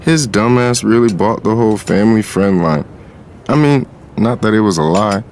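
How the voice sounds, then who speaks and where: Actor as a low-voiced cocky streetwise man. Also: moderate pace, a little mellow, a little hiss or hum, natural voice. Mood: smug, amused. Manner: confident, sly, casual. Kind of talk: first-person dramatized story narration.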